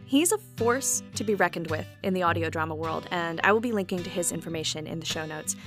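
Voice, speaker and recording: Bright-voiced woman hosting a video chat. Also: fairly low pitch, quick pace, faint music behind. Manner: warm, enthusiastic, laughs often. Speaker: podcast host and audio drama creator. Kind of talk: casual interview chat. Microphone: video call.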